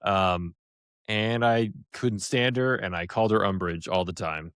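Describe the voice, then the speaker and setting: A man co-hosting a casual podcast, relaxed and opinionated, often restarting his sentences. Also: sits low, a little slow, smooth, no room sound, studio quality. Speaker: podcast co-host.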